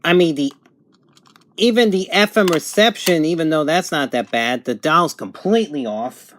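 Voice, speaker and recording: A woman's voice: low voice, animated, normal speed. A woman giving a casual, exasperated gadget review, full of exclamations. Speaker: product reviewer. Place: indoors at a table. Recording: clear radio static and handling noise.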